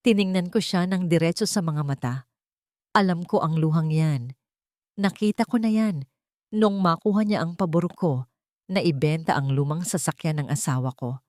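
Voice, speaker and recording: Low-voiced woman narrating dramatically. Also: moderate pace, a little mellow, no room sound, clean studio sound. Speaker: story narrator. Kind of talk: dramatic scripted first-person narration.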